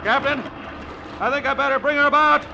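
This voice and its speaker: Seaman in peril, urgent, protective, very high gravelly voice, 1950s radio drama, very loud sea noise. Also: steady tone, a little fast, dull audio.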